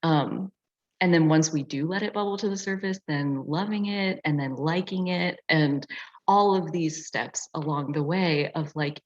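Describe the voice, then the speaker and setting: A woman teaching in a casual, reflective way on an online group call, often saying "like" as she explains. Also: sits low. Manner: reflective, frequent "like" filler. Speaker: astrology teacher and session facilitator. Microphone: video call. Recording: dull, lo-fi video call audio.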